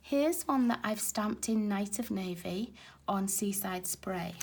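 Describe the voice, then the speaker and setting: A woman with a raspy voice, hoarse from a lingering cold, talks through a card-making demonstration. She sounds chatty and explanatory as she works with her hands at her craft table, and her pitch sits in a middle range. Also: slow. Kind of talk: casual crafting tutorial. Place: craft table at home, filmed from overhead.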